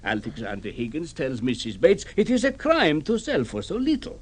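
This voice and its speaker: A man's voice: fairly high pitch, huge pitch swings, moderate pace, husky, exaggerated voice. An actor playing a cheerful, shrewd art dealer lecturing his son indulgently, in a theatrical old radio drama style. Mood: gleeful. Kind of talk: 1950s radio drama acting.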